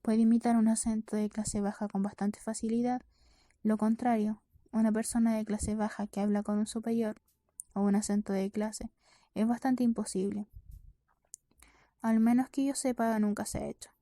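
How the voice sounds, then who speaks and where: Mellow female audiobook narrator, even delivery. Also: a little high, normal speed, no room sound, studio quality. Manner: reads with occasional stumbles and self-corrections. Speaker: audiobook reader. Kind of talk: scripted first-person novel narration.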